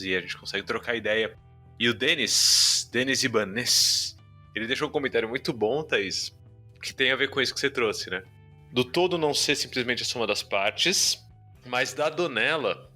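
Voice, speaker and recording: Male Brazilian podcast host, very bright projected tone, casual and slangy, faint music bed. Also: mid-range voice. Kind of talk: casual chat. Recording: music behind the voice.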